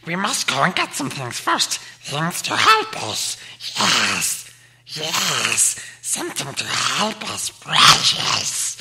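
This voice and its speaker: A slimy cave creature, spiteful and wheedling. The voice is piercingly sharp and lurches wildly up into shrieks, then falls into slow, fussy, hissing muttering. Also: male voice, fairly high pitch, wildly swooping pitch, creaky, voice pushed up, exaggerated voice. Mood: sly, impatient. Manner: fussy muttering with repeated words. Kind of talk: audiobook character acting.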